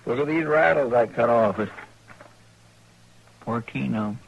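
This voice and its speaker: An actor playing a simple, kindly Western deputy sidekick with a countrified drawl in a 1950s radio drama. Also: male voice, mid-range voice, measured pace, gravelly, lo-fi sound, slight background noise. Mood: sorrowful, folksy.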